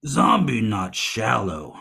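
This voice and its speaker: A man playing a slow-witted, food-snob zombie in a comic audio play, speaking broken, halting sentences in a gravelly voice. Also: lowish voice, huge pitch swings, sharp tone, heavily acted. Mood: deadpan, hungry. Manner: broken, halting caveman-like speech. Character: slow-witted male zombie with snobbish taste in brains.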